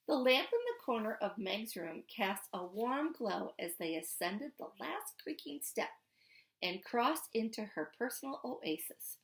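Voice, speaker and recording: A woman reading a storybook aloud in an expressive narrating style. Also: on the high side, low-quality recording. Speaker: storybook reader.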